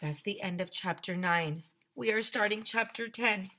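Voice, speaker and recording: A woman teacher reading a children's storybook aloud, animated and emphatic, speaking directly to her young listeners. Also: medium pitch, normal speed, background noise.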